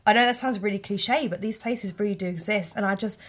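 A woman's voice: medium pitch, fast. A woman hosting a podcast, leading the conversation in an enthusiastic way and often saying "like". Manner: bubbly, enthusiastic, says "like" often. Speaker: podcast host. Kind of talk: casual interview chat.

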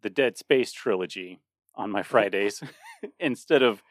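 A man's voice: fairly low pitch, animated, measured pace, dry recording, studio quality. A man in his late thirties co-hosting a podcast in a casual chat, rambling with frequent restarts and laughter. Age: 30s. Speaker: podcast co-host.

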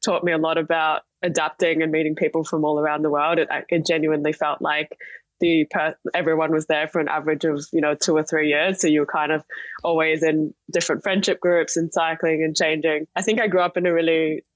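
A woman's voice: low-pitched, flat delivery, fairly quick, no room sound. Young woman guest with dry, close sound. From Singapore, partly Australia. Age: young adult. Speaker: podcast guest, startup chief executive. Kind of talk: conversational interview storytelling.

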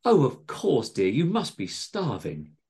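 A man's voice: medium pitch, huge pitch swings, a little slow, darkish tone, voice pushed up. A man voicing a long-suffering, motherly housewife in a comic story, fussy, doting and fretful.

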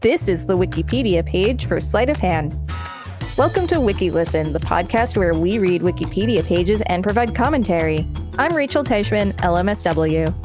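A woman's voice: medium pitch, animated, normal speed, music in the background. A woman hosting a podcast, reading encyclopedia text aloud and adding casual commentary. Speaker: podcast host.

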